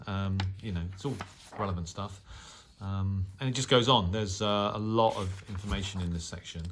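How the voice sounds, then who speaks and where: Low-pitched man, halting delivery. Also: slight background noise. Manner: hesitant, halting, conversational delivery with many filled pauses. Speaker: radio hobby channel host. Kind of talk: casual unscripted talk-through of a newsletter.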